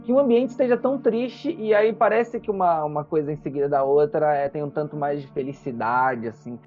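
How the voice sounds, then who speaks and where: A game master improvising narration for a tabletop role-playing game, explaining things in halting phrases. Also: medium pitch, narrow, tinny audio, soft background music.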